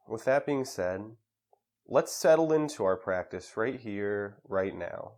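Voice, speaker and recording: A man leading a guided meditation in a calm, measured way with pauses between phrases. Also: lowish voice.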